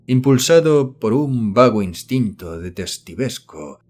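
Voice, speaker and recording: A man's voice: mid-range voice, a little mellow. Man narrating a horror audiobook. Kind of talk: scripted narration of a horror novel.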